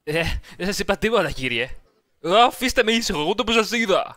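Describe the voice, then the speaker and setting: A performer voicing grumbling, deadpan comic characters in an amateur fandub, with loud music underneath. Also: mid-range voice, dry recording, clean studio sound. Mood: defensive.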